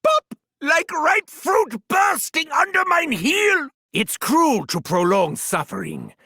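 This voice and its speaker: An actor playing a gleefully sadistic mad scientist doctor with a German accent, in a video game, gloating theatrically with cackling and shouting. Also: male voice, extremely high pitch, huge pitch swings, takes it slowly, dry recording, clean studio sound, heavily acted. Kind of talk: video game character barks.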